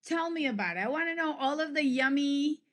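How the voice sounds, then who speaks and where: A woman hosts a conversational interview show. Her voice sits a little higher than usual, and she speaks with gushing emphasis. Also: animated, brisk, a bit bright. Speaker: podcast host.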